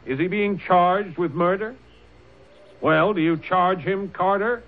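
A man's voice: high-pitched, slow, full character voice. An actor playing a principled, commanding colonial lawman who speaks urgently and articulately in an old-time radio drama. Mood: firm, commanding. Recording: tinny, noisy old radio broadcast.

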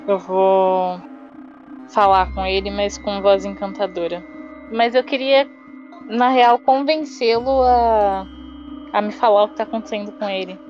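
A Brazilian woman speaks as a player in a tabletop game. She narrates her character's moves casually at an average female pitch. The sound is slightly dull, with faint music underneath. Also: steady tone, a little slow, darkish tone. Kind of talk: casual role-play narration.

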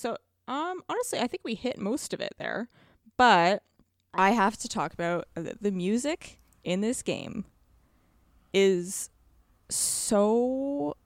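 A woman's voice: mid-range voice, dry recording, studio quality. A woman talking casually and emphatically about video games, with an enthusiastic conversational manner. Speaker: podcast co-host discussing video games. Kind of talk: casual chat, game review.

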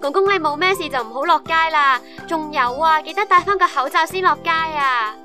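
A high-pitched young woman played by an actress as a caring, chatty student in a radio play. She has a Hong Kong Cantonese accent, with music underneath. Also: a little fast, lightly acted. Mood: concerned. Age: young adult. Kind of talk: radio drama acting.

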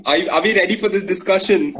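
A man's voice: high-pitched, quick pace, background noise. A young man in casual chat on a video call, teasing and saying bro a lot.

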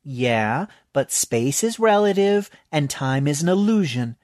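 A reckless young woman, as a man puts her on with a raised voice, eager and breezy, brushing off every warning. Her voice swings lively up and down. It is a dry audiobook read. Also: a little high, unhurried, dry recording, pitched above the performer's own voice.

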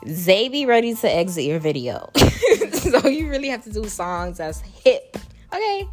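A young woman with a Caribbean accent and a soothing voice, hosting a casual advice chat. She talks in a chatty, playful way, laughs often and jumps up in pitch to stress a point. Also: sing-song, normal speed, faint music behind. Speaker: podcast host.

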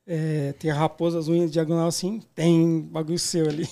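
A man's voice: a little high, flat delivery, normal speed, vocal fry, mellow. A man with a São Paulo Brazilian accent co-hosting a podcast in casual chat, teasing and upbeat with plenty of slang. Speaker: podcast co-host.

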